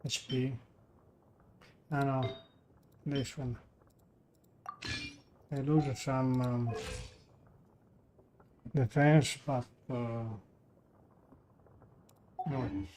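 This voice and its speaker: A man streams a game with clear background noise and clicks behind him. His voice sits at a middling pitch. He talks casually and haltingly, half to himself as he plays. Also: steady tone. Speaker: live streamer. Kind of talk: casual gaming livestream commentary.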